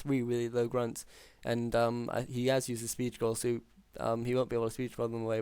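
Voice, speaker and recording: A man calling a strategy game match alone, talking through plays with many ums, his voice hoarse after hours of casting. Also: medium pitch, no echo. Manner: steady, filler-heavy analytical play-by-play. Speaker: game caster. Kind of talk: solo esports play-by-play commentary.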